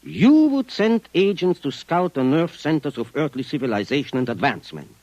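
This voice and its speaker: An actor playing a formal, eccentric gentleman client in a 1950s radio drama, with precise, courtly diction and a husky voice. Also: a little high, sing-song, moderate pace, no room sound. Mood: scheming. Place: radio studio.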